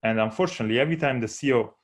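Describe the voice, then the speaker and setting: A man's voice: medium pitch, very fast. A man from Italy, a non-native speaker of English with an Italian accent, giving an earnest, explanatory conference talk from slides. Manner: earnest, explanatory, with occasional restarts.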